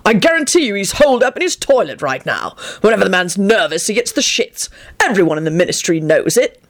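A woman's voice: mid-range voice, big pitch swings, fairly quick. A woman voicing a vehement, indignant wizard man with a blunt, emphatic manner in a storybook.

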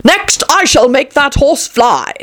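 A woman's voice: mid-range voice, expressive, moderate pace, a bit bright, voice pushed up, natural voice. A woman voicing a vain, foolish, short-tempered king in a storybook, pompous and commanding. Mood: showy. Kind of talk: storybook character acting.

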